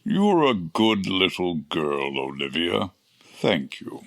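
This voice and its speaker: An actor playing a kindly, gentle old man, grandfatherly and unhurried, in a comic holiday audio drama. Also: sits low, sing-song, exaggerated voice. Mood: warm, grateful. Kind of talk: comedic audio drama acting. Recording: noisy ambience behind the voice.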